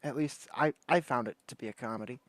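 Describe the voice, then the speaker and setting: A man's voice: medium pitch, fast, fairly bright tone, no room sound. A man hosting a livestream in casual chat, talking in an informal, slangy, rambling way. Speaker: livestream host.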